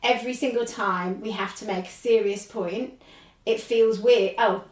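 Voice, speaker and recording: A woman in her forties with a squeaky speaking voice, chatting as a podcast guest and rambling with lots of laughter. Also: moderate pace, echoey room, distant mic, low-quality recording. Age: 40s. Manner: rambling, animated, laughs often. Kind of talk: casual chat.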